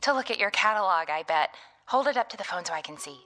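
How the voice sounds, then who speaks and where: An actress playing an excitable, looks-obsessed teenage girl with breathless, gushy chatter in a radio drama. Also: medium pitch, quick pace, soft background music. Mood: eager, prying.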